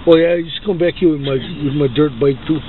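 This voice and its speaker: A man with a Hawaiian local accent talks casually on a ride, like an informal tour guide. His voice is fairly high, and the recording is thin and band-limited with clear vehicle noise. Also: fast. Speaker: vlogger. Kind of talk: casual chat while touring by car. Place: inside a car outdoors by the shore. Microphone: handheld camera in the car. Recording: tinny, band-limited phone video with loud car noise.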